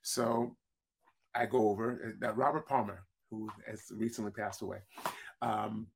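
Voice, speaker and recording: A man with a deep voice, a singer-songwriter, telling stories in a relaxed interview and often restarting his words. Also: measured pace, vocal fry, slightly rough. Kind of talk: casual interview storytelling.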